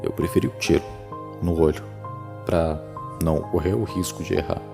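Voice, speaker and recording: A man's voice: low voice, moderate pace, creaky, over music, pitched below the performer's own voice. A Brazilian man voicing a dead father's ghost, an older, sardonic, fatalistic man, in a horror audio drama.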